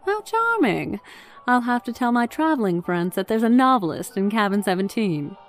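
An animated, arch society lady, acted by a woman in a period radio drama, formal and teasing. Faint music runs behind her. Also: a little high, normal speed. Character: poised, teasing well-off lady passenger. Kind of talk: amateur radio play acting, 1950s period drama.

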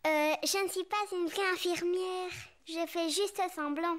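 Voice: very high-pitched, steady tone, a little slow, fairly bright tone. A performer voicing an eager, helpful little girl sheep in a French cartoon dub. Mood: earnest.

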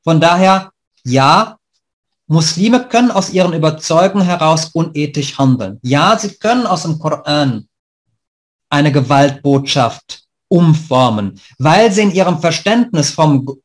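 A man's voice: fairly high pitch, lively intonation. Man reading a formal lecture by webcam. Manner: measured, formal delivery. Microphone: webcam on a video call.

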